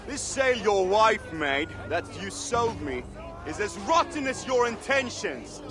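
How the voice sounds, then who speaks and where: An actor playing a scornful, envious Viking rival in a video game cutscene, contemptuous and deliberate. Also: male voice, high voice, big pitch swings. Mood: accusing. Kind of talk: dramatic game cutscene acting. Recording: music behind the voice.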